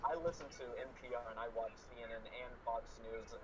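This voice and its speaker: A man's voice: medium pitch, fairly even intonation, fairly quick, noisy background. A man hosting a podcast discussion, talking earnestly with many fillers. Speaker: podcast host. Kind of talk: casual discussion.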